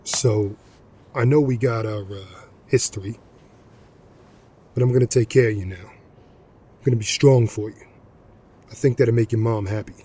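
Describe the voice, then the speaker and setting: An actor playing a brawny middle-aged gang enforcer and reformed drinker, talking in a folksy, swaggering way in an audio drama. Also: male voice, on the low side, normal speed, long pauses, warm tone, husky. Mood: earnest, subdued.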